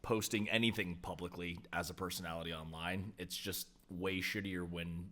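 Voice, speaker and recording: A man co-hosting a podcast in casual banter, blunt and quick to swear. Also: sits low, steady tone, sharp tone, slight background noise. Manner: blunt, profane. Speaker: podcast co-host.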